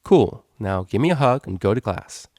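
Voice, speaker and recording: A man's voice: lowish voice, expressive, moderate pace, darkish tone, dry recording, clean studio sound. A man voicing a brash young female unicorn DJ, playful and easily flustered, in slangy exclamations. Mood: indignant.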